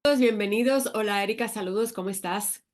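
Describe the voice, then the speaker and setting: A woman's voice: medium pitch, brisk, faint music behind. A woman hosting a parenting podcast, reading the introduction and then chatting casually with the guest. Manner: reads the intro, then chats loosely with laughs. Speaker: podcast host. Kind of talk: scripted introduction and casual chat.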